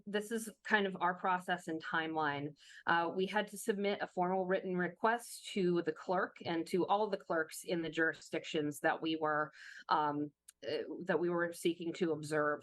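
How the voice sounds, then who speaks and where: Slightly hoarse woman presenting slides. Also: lowish voice, no room sound, poor audio. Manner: explanatory, with frequent fillers and restarts. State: slightly hoarse, losing her voice. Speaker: presenter at a workshop. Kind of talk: webinar presentation walking through slides.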